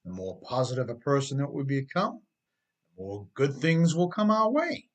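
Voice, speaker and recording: A middle-aged man, an author reading his own scripted motivational writing with emphatic, deliberate phrasing. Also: mid-range voice, sing-song.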